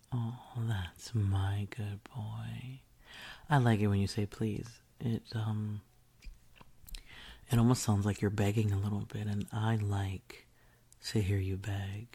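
A woman doing an intimate whispered audio roleplay, teasing and commanding in a breathy whisper close to the mic. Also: rumbling low, level tone, takes it slowly, warm tone.

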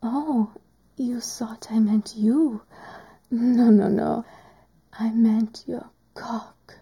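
A woman voicing a teasing, doting woman doctor in an adult audio roleplay, speaking in a slow, whispery, drawn-out way. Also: a little high, fairly even intonation, creaky, warm tone, breathy edge, heavily acted. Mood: sultry teasing.

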